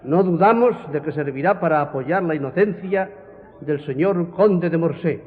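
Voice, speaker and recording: A man acting an authoritative parliamentary president in radio drama style. His slightly high voice is formal and measured. It rings in a long reverb with a thin, phone-like sound. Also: natural voice. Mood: solemn. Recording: reverberant hall, tinny narrow-band sound.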